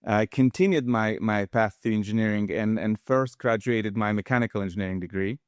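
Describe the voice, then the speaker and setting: Low, smooth-voiced man in his fifties. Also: no room sound, clean studio sound. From Russia. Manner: measured, halting with frequent restarts. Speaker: guest, engineering expert. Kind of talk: casual interview talk.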